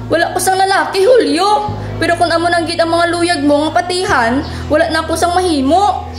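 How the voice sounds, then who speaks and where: A woman's voice: extremely high pitch, normal speed. A woman with a Western Visayan Filipino accent playing a hurt but firm young mother and wife in a radio drama. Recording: echoey room with music bed.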